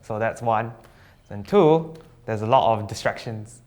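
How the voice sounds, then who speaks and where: A young man from Sabah, a student guest chatting casually on a live stream, relaxed and jokey with slang and hesitant fillers. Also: fairly low pitch, measured pace. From Sabah, East Malaysia. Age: young adult.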